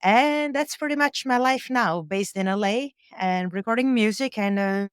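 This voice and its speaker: Smooth-voiced woman chatting with fillers. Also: mid-range voice, normal speed, dry recording, studio quality. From Europe. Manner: chatty, many fillers, laughs between thoughts. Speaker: guest musician and sound engineer. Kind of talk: casual interview conversation. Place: home recording studio on a boat.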